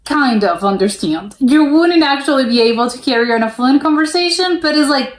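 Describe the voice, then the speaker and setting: A woman's voice: sits high, animated, a little fast. A young woman from the United States hosting a reaction video, rambling casually with lots of "like" fillers and restarts. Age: young adult. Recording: roomy echo.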